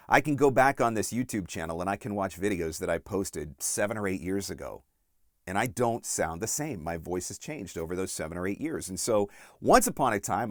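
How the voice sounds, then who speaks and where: A man in his forties giving instructional talk as a coach and host, deliberate and emphatic on key points. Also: fairly low pitch, no room sound, clean studio sound. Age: 40s. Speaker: voice-over coach and channel host.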